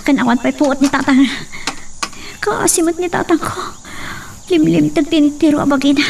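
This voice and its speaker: A very high-voiced young woman in a radio-style drama played by an actress, anxious and determined, breaking into breathless exclamations. The recording is noisy, with clicks behind her. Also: moderate pace, vocal fry, a little mellow.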